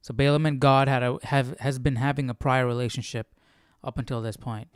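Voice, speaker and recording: Man, smooth clear voice, dry close sound, casual study commentary, questioning, rephrases as he goes. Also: mid-range voice, little pitch movement, brisk, dry recording. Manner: questioning, repeats and rephrases as he reasons. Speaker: livestream Bible study host.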